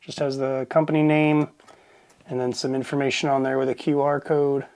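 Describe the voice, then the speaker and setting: A man's voice: mid-range voice, level tone, moderate pace, darkish tone, slight background noise. A man giving a casual, plain-spoken product review, explaining things informally as he goes.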